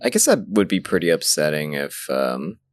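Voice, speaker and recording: Deep, animated male podcast co-host. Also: moderate pace. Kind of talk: casual chat.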